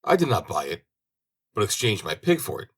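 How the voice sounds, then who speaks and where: A man as a naive, excitable young fellow. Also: fairly low pitch, fairly quick, gravelly, slightly rough, exaggerated voice. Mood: cheerful. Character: naive cheerful young man, trusting and easily delighted.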